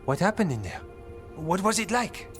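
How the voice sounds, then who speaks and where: An actor playing a gentle, earnest, nature-loving warrior man in a video game, speaking in short, soft-hearted phrases. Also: sits high, big pitch swings, moderate pace. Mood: tender, wondering. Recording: clear music behind the voice.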